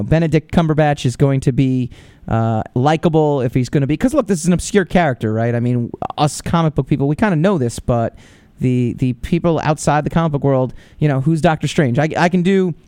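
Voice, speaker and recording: A man's voice: on the high side, warm tone, dry recording. A man hosting a podcast, giving casual, opinionated commentary with lots of fillers and restarts. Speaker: podcast host.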